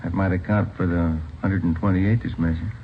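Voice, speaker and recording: A man's voice: sits low, steady tone, brisk. A man playing a plain-talking, puzzled police detective in a radio drama, speaking in casual clipped sentences. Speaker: detective partner. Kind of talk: 1950s radio drama acting. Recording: tinny, noisy old radio recording.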